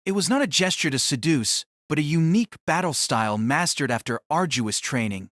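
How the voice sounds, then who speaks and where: A man's voice: a little high, animated, brisk, a bit bright, no echo, clean studio sound. Male narrator reading a fantasy drama. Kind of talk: scripted audiobook narration.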